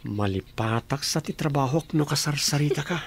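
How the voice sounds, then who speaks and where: A man's voice: fairly high pitch, animated, unhurried, husky, full character voice. A man in a radio drama playing an easygoing, affectionate young husband who talks mildly. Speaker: office worker husband.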